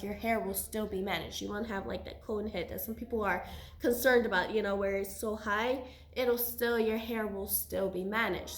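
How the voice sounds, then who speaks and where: A woman in her thirties, recovering from a cold, chatting casually and warmly as she shares her hair care routine. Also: fairly high pitch. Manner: chatty, friendly, sprinkles religious phrases. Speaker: hijab seller and vlogger. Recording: echoey room.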